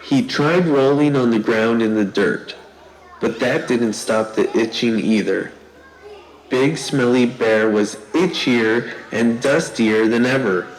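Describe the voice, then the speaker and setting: A storyteller narrating a scripted children's tale in a deliberate, theatrical way. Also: medium pitch, poor audio. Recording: live show with audience noise.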